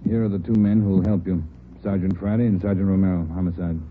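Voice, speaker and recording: A man's voice: deep voice, steady tone, quick pace, lightly acted. A male actor playing a commanding American chief of detectives in a 1940s radio drama. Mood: brisk, commanding. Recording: very noisy, tinny old radio.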